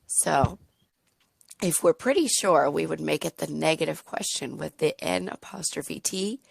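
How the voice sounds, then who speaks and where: Slow, gravelly woman tutoring a child. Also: sits low, lively intonation. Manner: slow, clear, modeling sentences aloud. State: slightly hoarse, losing her voice. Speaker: online English tutor for a child. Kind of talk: patient teaching over a video lesson.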